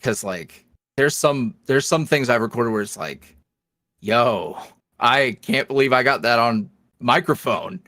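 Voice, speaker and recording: A man talking casually as a podcast guest, in a rambling, animated, self-deprecating way. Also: mid-range voice, unhurried, no room sound, studio quality. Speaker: podcast guest, ASMR roleplay voice creator. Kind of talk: casual interview chat.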